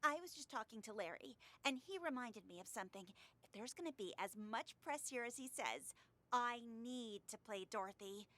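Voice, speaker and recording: A woman's voice: a little high, animated, normal speed, bright, forceful tone. A woman playing a scheming, ambitious and gossipy young actress in a radio drama, wheedling and conspiratorial. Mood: excited. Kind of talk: interactive radio drama acting.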